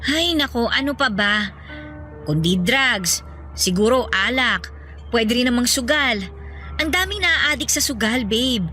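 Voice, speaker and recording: A concerned Filipino girlfriend in a radio drama. Her voice is crisp, bright and a bit high, and she talks in a practical, persuasive way over faint music. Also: animated, measured pace. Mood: exasperated. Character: concerned, outspoken young girlfriend, practical and caring.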